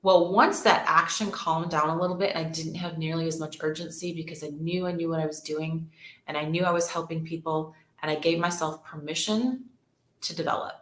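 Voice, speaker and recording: A woman's voice: low-pitched, fairly even intonation, a little fast, roomy sound. A woman, a real estate agent chatting casually and upbeat to livestream viewers, repeating words for emphasis.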